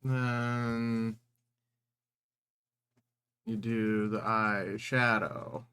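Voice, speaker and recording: A man's voice: fairly low pitch, steady tone, very slow, pushed and bright, a little hiss or hum. A man co-hosting a livestream, chatting casually in an easygoing, agreeable way. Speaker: co-host, streamer's husband. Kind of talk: casual chat.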